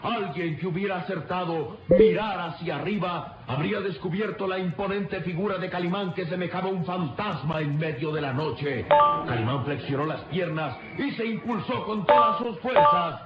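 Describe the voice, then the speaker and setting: Casting: male radio serial narrator, dramatic, fairly high voice, heavy music underneath. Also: normal speed. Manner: solemn, suspenseful delivery. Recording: muffled, echoey radio recording under loud music.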